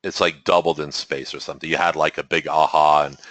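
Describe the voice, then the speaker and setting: A man hosting a spiritual webinar, talking in a relaxed way with chuckles and frequent restarts, through a computer mic on a call. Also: very deep voice, a little fast, dry recording. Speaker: webinar host. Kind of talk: teaching webinar talk.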